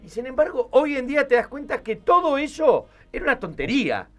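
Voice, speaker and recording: A man's voice: very high-pitched, very animated, a little fast, a bit bright. An Argentine man hosting a casual interview, talking emphatically and piling up synonyms as he rephrases. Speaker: interviewer, show host.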